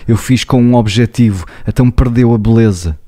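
A man's voice: medium pitch, a little fast, warm tone. A young man with a European Portuguese accent, co-hosting a podcast, explaining ideas earnestly and talking straight to listeners. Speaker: podcast co-host, psychologist. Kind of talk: casual podcast chat.

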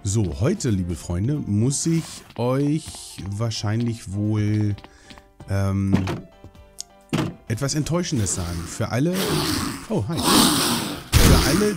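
A young man with a cold, chatting casually and rambling as he hosts a Minecraft let's play, swearing now and then. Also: lowish voice. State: hoarse from a cold. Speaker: gaming channel host.

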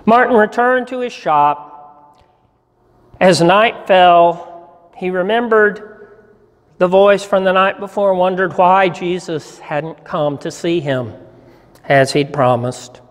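Slow, high-pitched older man telling a story. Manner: measured, deliberate storytelling with pauses. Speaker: pastor. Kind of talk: dramatic story reading. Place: church sanctuary, standing at a lectern. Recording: reverberant church hall.

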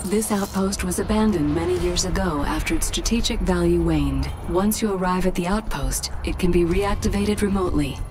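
Woman acting as low-pitched military computer announcer. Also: normal speed, few pauses, lightly acted. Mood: cool, formal. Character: cool, formal female military computer announcer. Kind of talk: game status announcements.

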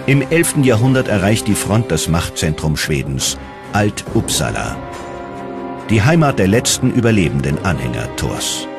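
A man's voice: sits low, big pitch swings, normal speed. A man reading scripted documentary narration in a dramatic, emphatic manner. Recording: loud music behind the voice. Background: loud music.

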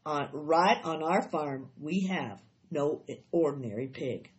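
A woman voicing an earnest, shaken farmer husband from a storybook, grave, halting and folksy. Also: deep voice, lively intonation, lo-fi sound, pitched below the performer's own voice. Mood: awed, insistent.

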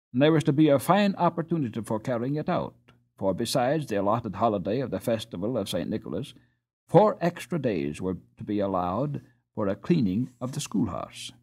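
A man narrates an audiobook story for children. His reading is expressive, with a very lively rise and fall at a middling pitch. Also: breathy edge.

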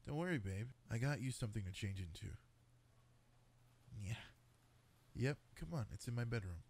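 A man playing an affectionate cat-boy boyfriend in an intimate sleep-aid roleplay, speaking softly and coaxingly. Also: on the low side, lively intonation, normal speed, husky. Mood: doting, playful. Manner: soft, tender, coaxing, intimate. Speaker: roleplay voice actor.

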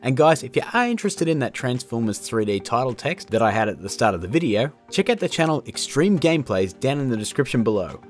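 A man's voice: mid-range voice, very animated, quick pace, few pauses. A man in his thirties narrating a tutorial in a casual, informal way. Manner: informal, addresses viewers as guys. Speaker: host of audio effect tutorials. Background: background music.

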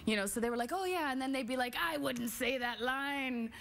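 A woman's voice: high-pitched, a little fast, vocal fry, a little hiss or hum. A woman in her forties, an actress guest on a late-night show, telling stories in a bubbly, laughing way. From Canada. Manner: bubbly, laughing, animated storytelling. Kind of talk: casual chat. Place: TV studio with audience.

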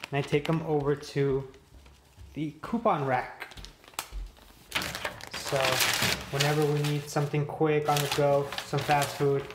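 A man, chatting upbeat as a vlogger, talking on a hike. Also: fairly high pitch. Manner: upbeat, chatty, tour-guide tone. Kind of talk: casual vlog narration. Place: outdoors on a hiking trail. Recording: noisy outdoor recording.

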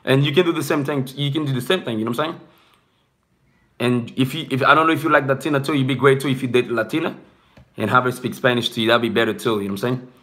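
A man's voice: mid-range voice, fairly even intonation, fast, fairly bright tone. A young man whose first language is not English, casually chatting with viewers in a live stream from a small room, rambling and saying "bro" a lot. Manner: loose, rambling, peppered with "bro" and "you know what I'm saying".

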